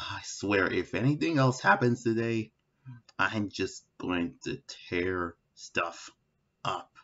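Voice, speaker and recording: Man playing exasperated hedgehog antihero, big pitch swings. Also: animated, a bit bright, dull audio, heavily acted. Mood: fed up. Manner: ranting, halting, exasperated. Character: angsty, exasperated dark hedgehog antihero with a short temper. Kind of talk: improvised comedic parody fandub.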